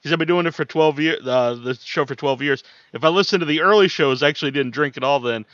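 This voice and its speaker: A man hosting a podcast interview, relaxed and hesitant, filling with "uh" and repeating words. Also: on the high side, fast talker, no room sound. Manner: relaxed, hesitant, many "uh"s and repeated words, frequent laughs and "mm-hmm". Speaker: podcast host. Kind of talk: casual interview chat. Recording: dull lo-fi sound, dry.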